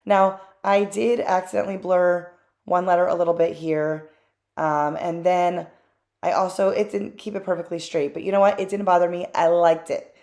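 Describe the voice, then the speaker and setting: A chatty woman with a slightly low voice narrates her own craft project as a voice-over. She talks candidly, drifting into small asides and filling pauses with ums. The tone is loose and unscripted, like a host talking to regular viewers. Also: steady tone, darkish tone.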